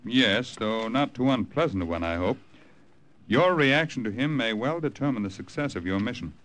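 An actor playing a stern, deliberate army colonel giving clipped, authoritative orders in a 1950s radio drama. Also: male voice, medium pitch, animated, fairly bright tone, lightly acted. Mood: measured, secretive. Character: stern, deliberate military colonel briefing agents. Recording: noisy old radio recording.

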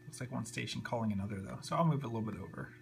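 A man's voice: medium pitch, very fast, vocal fry. A man giving a casual, unscripted tutorial as he works, sometimes muttering to himself. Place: indoors at a computer desk. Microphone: handheld camera, a little off the screen. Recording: faint background hum and noise.

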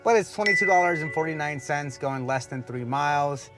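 A middle-aged man talks casually to the camera from inside his parked car, wearing a clip-on mic. His voice sits a little high for a man and stays relaxed and chatty. Music plays clearly underneath. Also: a little fast. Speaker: delivery driver vlogger. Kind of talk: casual vlog narration. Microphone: clip-on lapel mic.

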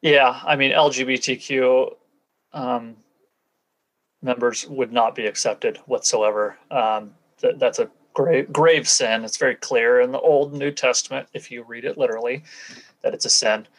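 A man's voice: mid-range voice, steady tone, unhurried, fairly bright tone, poor audio. A man being interviewed as a guest, recounting and explaining things in a matter-of-fact, conversational way. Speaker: interview guest, former church member.

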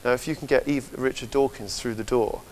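Halting man, faint hiss behind. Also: mid-range voice, fairly even intonation, darkish tone. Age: 30s. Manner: halting, thoughtful phrasing with repeated words. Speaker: interviewee, website director. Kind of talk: interview answer. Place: large church interior. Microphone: lapel.

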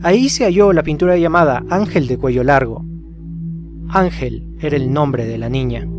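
A man's voice: fairly high pitch, normal speed. A man narrating a scripted horror case as a storyteller, putting rhetorical questions to the listener. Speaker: narrator of a horror explainer video. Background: music behind the voice.

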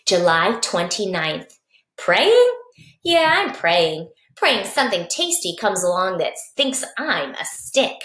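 A small, cocky young praying mantis tells his storybook diary , read by a woman. He is playful and boastful, in short, expressive sentences. The sound is echoey, like a basement room. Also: medium pitch, takes it slowly. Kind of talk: expressive children's storybook reading.

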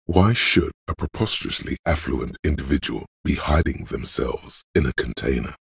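An actor playing a calm, analytical inner voice of logic in a video game, gravelly and husky, speaking in a dry, measured, knowing way. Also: male voice, very deep voice, dry recording, exaggerated voice. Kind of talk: video game voice-over narration.

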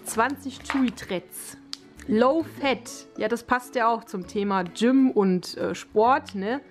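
Young adult woman, highish pitch, colloquial unboxing chat, thinking aloud, background music. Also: slow. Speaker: dog owner and vlogger presenting an unboxing. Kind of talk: casual unscripted product review. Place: living room, indoors.

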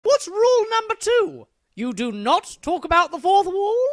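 Very high, flustered cartoon maestro. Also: male voice, huge pitch swings, slow, a bit bright, full character voice. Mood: naive, curious. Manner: flustered, stammering, exclaiming. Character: excitable, naive, easily baffled cartoon Maestro. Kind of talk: animated comedy dialogue.

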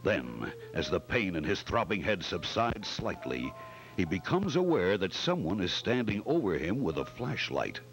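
A man's voice: sits low, big pitch swings, fairly bright tone, soft background music, heavily acted, low-quality recording. A man playing the narrator of a detective serial, reading scripted, suspenseful narration in old-time radio style. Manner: measured, suspenseful storytelling. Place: hotel function room stage, indoors.

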